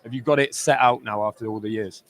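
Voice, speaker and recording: Relaxed co-host, mid-pitched. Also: male voice, quick pace. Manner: relaxed, easy questioning. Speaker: co-host of a boxing news livestream. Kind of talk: conversational interviewing.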